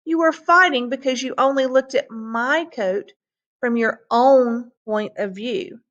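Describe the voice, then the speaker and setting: A woman reading the lines of a trickster man in a storybook folktale, in a calm, matter-of-fact way. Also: fairly high pitch, measured pace, muffled recording. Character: trickster man in a two-color coat.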